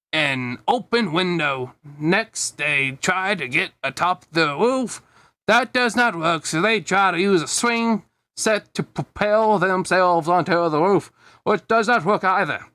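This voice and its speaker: A man's voice: sits high, sharp tone, no echo. A man reading a fan fiction story aloud casually, often breaking off to comment on it with a chuckle. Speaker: amateur fan fiction reader.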